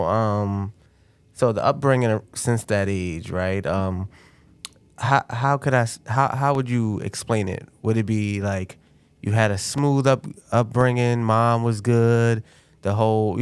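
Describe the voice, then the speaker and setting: A man's voice: on the low side, clear, resonant tone, no room sound. A man hosting an interview in an informal, encouraging, conversational way. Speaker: interview host. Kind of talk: casual on-camera interview.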